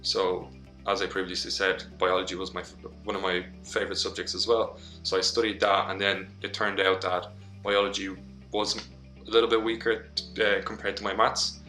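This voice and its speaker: Deep flat-voiced young man from Poland. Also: normal speed. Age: young adult. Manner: steady, with small restarts. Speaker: engineering student. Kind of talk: personal account to school students. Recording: echoey room, faint music.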